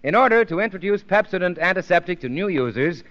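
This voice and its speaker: A man announcing an emphatic sales pitch in the style of a 1930s radio advertisement. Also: animated, fast, a bit bright. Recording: muffled old radio broadcast.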